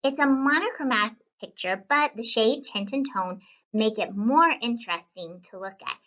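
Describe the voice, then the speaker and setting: A woman reading a children's story as a whimsical, wisecracking young student painter, with animated delivery and playful, wry asides. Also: fairly high pitch, normal speed, highs cut off. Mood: pleased, punning. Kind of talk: scripted children's read-aloud.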